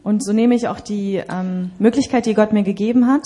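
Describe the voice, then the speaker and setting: A woman with a soft-edged, mellow tone works as a church interpreter. She renders a sermon in plain, short sentences, one phrase after another, at a medium pitch. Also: brisk.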